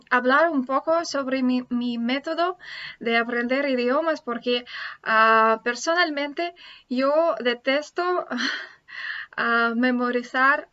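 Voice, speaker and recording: A woman's voice: sits high, sharp tone, dull audio. A Russian woman teaching languages in a casual video, speaking hesitantly with many filler sounds.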